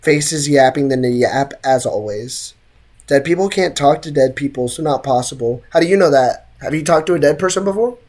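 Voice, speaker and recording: A woman with a remarkably low voice hosts a casual game stream. She reacts to the game and banters with viewers in a slangy, joking way. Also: animated, normal speed, darkish tone. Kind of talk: casual livestream chat and game commentary.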